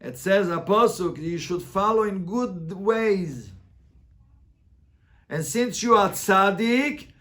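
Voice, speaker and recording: Male rabbi, fairly high voice. Interactive Talmud lesson, phrase-by-phrase translation, animated repetition and rhetorical questions. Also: slow pace. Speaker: rabbi teaching a Talmud class.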